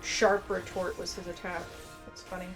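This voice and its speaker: A woman's voice: fairly low pitch, little pitch movement, moderate pace, music in the background. A woman giving casual, informal gameplay commentary, musing aloud and reading bits of quest text. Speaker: game streamer. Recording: faint background noise and game ambience.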